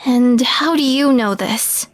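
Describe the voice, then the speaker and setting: A woman playing a skeptical, easily shocked alien roommate in a scripted audio drama, questioning her friend with measured disbelief. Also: on the high side. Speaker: voice actor in audio roleplay.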